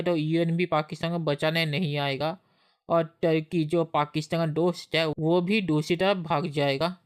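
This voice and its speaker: A man reads scripted commentary for an online video channel. His delivery is flat and even, with almost no rise and fall, and his voice comes through smooth and clear. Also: on the high side. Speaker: YouTube commentator.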